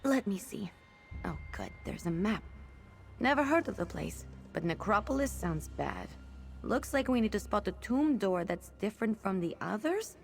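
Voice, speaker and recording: A woman's voice: mid-range voice, very animated, normal speed, creaky, soft background music. An actress voicing a brash, sarcastic young female mage companion in a video game, blunt and wry. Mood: curious. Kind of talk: video game character dialogue. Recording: game ambience noise behind the voice. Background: game ambience.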